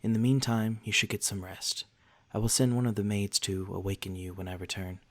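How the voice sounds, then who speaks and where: A courteous vampire lord acted by a man speaks softly and fairly low, straight to the listener, as in an ASMR roleplay. His wording is genteel and a little old-fashioned. He comes across as gentle, attentive and protective, reassuring in everything he says. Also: little pitch movement, fairly quick, natural voice. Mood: protective, tender.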